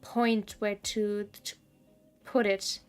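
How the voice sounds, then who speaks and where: A woman gives casual gameplay commentary as a streamer. She talks slowly at a medium pitch, thinking aloud as she goes, with a blunt, wry way of putting things. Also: level tone, clean tone, faint music behind. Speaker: game streamer.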